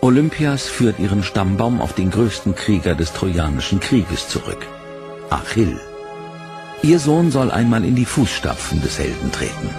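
A man narrating a history documentary in a measured, dramatic scripted style. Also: lowish voice, very animated. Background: music.

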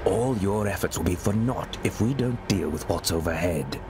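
An actor playing a grave, knowing hooded mentor in a fantasy game, speaking formally and deliberately. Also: male voice, sits low, animated. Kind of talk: dramatic fantasy game dialogue. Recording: noisy ambient game soundscape.

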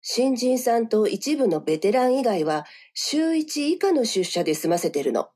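An actress as mellow, husky, self-assured businesswoman. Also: fairly high pitch, sing-song, normal speed. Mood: composed, teasing. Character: cool, teasing senior businesswoman, self-assured and brisk. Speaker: voice actor. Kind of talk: voice drama dialogue. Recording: music behind the voice.

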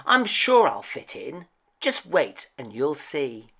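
A woman's voice: low-pitched, very animated, takes it slowly, fairly bright tone, slightly rough, heavily acted. A woman voicing a cheerful, kindly male pumpkin in a storybook, friendly and eager. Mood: hopeful.

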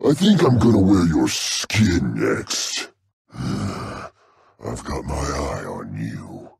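A man voicing a brutish, hot-tempered dragon warrior for a video game, with a gravelly, raspy growl and a blustering, boastful manner. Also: a bass voice, sing-song, drawn-out words, darkish tone, no room sound, clean studio sound, exaggerated voice. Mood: low menace. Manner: blustering, swinging from growls to bellows. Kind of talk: video game character barks.

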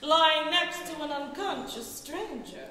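A woman playing a cryptic, wry observer of the dying in a live amateur stage comedy, with a declamatory, deliberate delivery. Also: high-pitched, bright, forceful tone, exaggerated voice. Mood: wry, ominous. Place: small theater stage with audience, indoors. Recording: echoey theater stage, faint noise.

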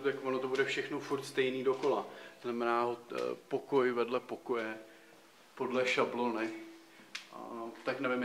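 A man's voice: mid-range voice, sharp tone, a little hiss or hum. A young man in his twenties giving loose, slangy commentary while walking through an abandoned hotel, filmed close on a handheld camera. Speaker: urbex vlogger. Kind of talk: casual walk-through commentary. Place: abandoned hotel, indoors and on rooftops. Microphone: handheld camera close to face.

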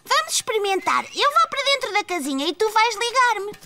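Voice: very high voice, big pitch swings, brisk, music in the background, heavily acted. A voice actor playing a cheerful, eager four-year-old boy in a cartoon, with a European Portuguese accent. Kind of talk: children's cartoon voice acting, European Portuguese dub.

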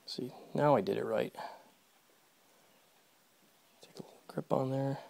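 A man with a gravelly, mid-pitched voice narrates offhandedly as he works on an electric fence inside a horse barn. He mutters to himself and his horses between steps of the job. The sound comes from a phone camera held close in the stall. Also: unhurried, darkish tone, a little hiss or hum. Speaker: video creator demonstrating fence work. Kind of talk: casual running commentary while working. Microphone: phone camera held close, first-person view.

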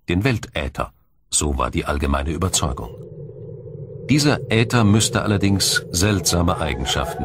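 A man reading scripted science documentary narration in a measured, explanatory way. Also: deep voice. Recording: music behind the narration. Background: background music.

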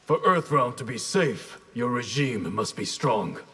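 A stern masked ninja master in a fighting game. He speaks solemnly and formally, with a slightly husky grain and a hall-like reverb around the voice. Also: medium pitch, sing-song, unhurried, fairly bright tone, heavily acted. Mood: solemn, resolute. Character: stern, honor-bound masked ninja clan leader. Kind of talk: dramatic fighting game dialogue.

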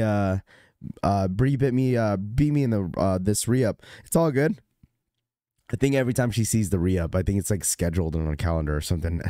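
Young male streamer, hoarse and a bit congested, warm low-key voice, relaxed slangy delivery. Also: dry recording, studio quality. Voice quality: slightly cracky, congested voice. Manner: laid-back slangy shout-outs with fillers. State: hoarse, recovering from illness. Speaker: livestream host. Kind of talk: casual livestream chat greeting viewers.

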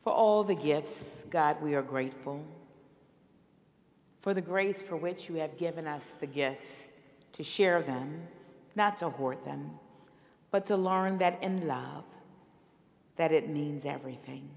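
A middle-aged woman pastor with a slightly raspy, hoarse voice, speaking to her congregation from the pulpit. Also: deep voice, takes it slowly, pauses often, darkish tone. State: recovering from illness, hoarse. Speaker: senior minister. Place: large church sanctuary, at the pulpit. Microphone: pulpit microphone. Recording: long church reverb.

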